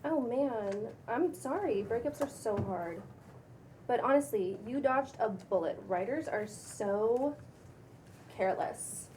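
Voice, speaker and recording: A breezy, self-assured young female influencer, acted by a woman at a table read in a fairly high voice. She talks casually with a preachy streak, over faint background noise. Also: expressive, takes it slowly, a little mellow. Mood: sympathetic, preachy. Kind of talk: cold table read of a pilot script.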